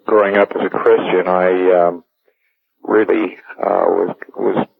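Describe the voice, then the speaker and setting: A middle-aged man with a fairly low voice tells a personal story as a radio caller. He goes deliberately, stopping often to hesitate and gather words. His voice comes through a narrow, phone-like connection. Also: gravelly. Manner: halting, deliberate, many long hesitations.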